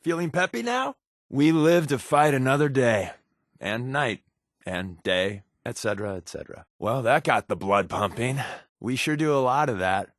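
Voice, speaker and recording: A man's voice: mid-range voice, lively intonation, normal speed, no room sound, studio quality, close to an everyday voice. An actor playing a wry, wisecracking male spy companion in a post-apocalyptic video game, talking in flippant, sardonic, conversational quips. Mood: wry, animated.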